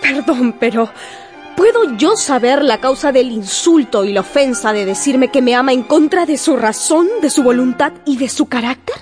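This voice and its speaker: A woman's voice: sits high, lively intonation, normal speed, darkish tone, faint music behind. An actress playing a proud, indignant young woman in a period romance radio drama, speaking formally and with strong emotion. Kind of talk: Spanish-language radio drama acting.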